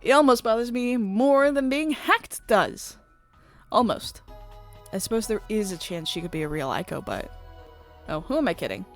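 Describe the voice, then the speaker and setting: A woman's voice: a little high, animated, moderate pace. A woman voicing a prickly female idol superfan in a visual novel, blunt, indignant and sceptical. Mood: indignant, scornful. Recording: music playing behind the voice.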